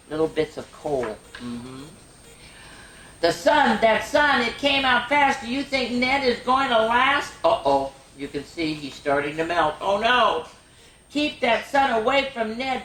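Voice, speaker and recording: A woman hosting a children's story time, reading picture books aloud in an animated way with chatty, exclaiming asides. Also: on the low side, a little hiss or hum. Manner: animated, repeats phrases for emphasis, exclaims. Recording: roomy, echoing room sound.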